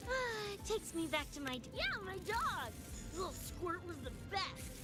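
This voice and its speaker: A woman's voice: very high voice, sing-song, a little slow, full character voice. A woman playing a brash, bouncy young punk girl in a video game, slangy and exclamatory. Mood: chatty, curious. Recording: loud music over the dialogue. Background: loud game music.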